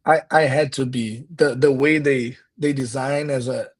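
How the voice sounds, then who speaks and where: Brazilian-accented pastor, husky and earnest. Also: male voice, mid-range voice, fairly even intonation, unhurried. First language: Portuguese. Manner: earnest, reflective, many restarts. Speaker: pastor and podcast guest. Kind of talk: conversational interview.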